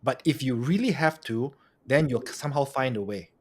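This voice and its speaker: A man's voice: mid-range voice, moderate pace. A man, hosting a casual interview in a conversational, inquisitive way and following up with questions. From Malaysia. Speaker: podcast host.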